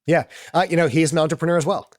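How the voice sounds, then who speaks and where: American guy on a podcast, middle aged, talks really fast, chatty, dry clean sound. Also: a little high, fairly even intonation, no room sound. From Boston area, US East Coast. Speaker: podcast guest, entrepreneur. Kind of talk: conversational interview storytelling.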